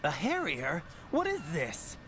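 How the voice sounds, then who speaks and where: Young american soldier, higher voice, really lively and troubled, game dialogue. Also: male voice, takes it slowly, a little hiss or hum, full character voice. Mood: questioning, alarmed. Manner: intense, anxious questioning. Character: young male special agent, earnest, unsettled, questioning. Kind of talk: video game voice acting.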